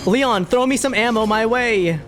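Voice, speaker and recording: A woman streamer giving casual, excitable, playful reaction commentary during a game playthrough. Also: on the high side, normal speed. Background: game music and dialogue.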